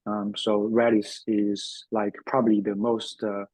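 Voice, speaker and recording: A man's voice: on the low side, level tone, unhurried, darkish tone, slightly rough, no room sound, low-quality recording. A man hosting a tech webinar as a developer advocate, speaking casually with lots of fillers and restarts. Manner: hesitant, many fillers and restarts.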